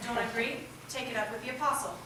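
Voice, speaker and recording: Echoey, higher-voiced woman telling a story. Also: quick pace. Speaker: narrator in a readers' theatre group. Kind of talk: scripted narration, storytelling. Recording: echoey hall, distant mic, loud noise.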